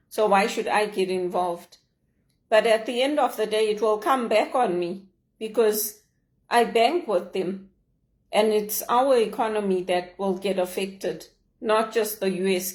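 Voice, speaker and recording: A middle-aged woman from Zimbabwe, speaking in an earnest, measured and heartfelt way in a personal reflective monologue. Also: mid-range voice, darkish tone. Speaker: leadership vlogger.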